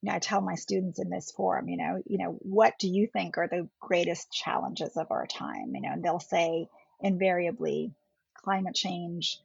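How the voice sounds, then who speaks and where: A middle-aged woman whose first language is German, speaking as a political scientist guest on a podcast in a thoughtful, measured way. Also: lowish voice, very animated, vocal fry, breathy edge, no echo. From India. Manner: thoughtful, measured, often rephrasing. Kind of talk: podcast interview. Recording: dull lo-fi podcast audio.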